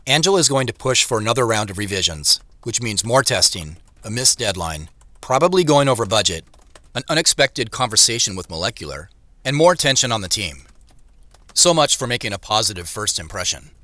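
An actor playing a measured, wry tech company boss who narrates an audio drama in the first person. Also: male voice, lowish voice, sing-song, bright, forceful tone, dry recording. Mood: wry, reflective. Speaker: narrator and lead character.

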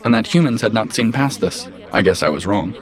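A man plays an earnest artificial intelligence looking after colonists in a sci-fi audio drama. His voice is low and moves widely in pitch, while the wording stays precise and analytical. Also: lively intonation, a little fast, crowd noise, close to an everyday voice. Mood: troubled. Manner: precise, formal, analytical phrasing. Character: earnest male artificial intelligence caring for a Mars colony family. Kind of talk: audio drama personal log narration.

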